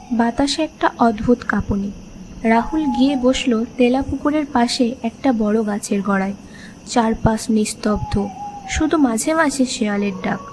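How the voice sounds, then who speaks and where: High-voiced woman narrating a ghost story. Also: a little mellow, a little hiss or hum, low-quality recording. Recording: background music behind the voice.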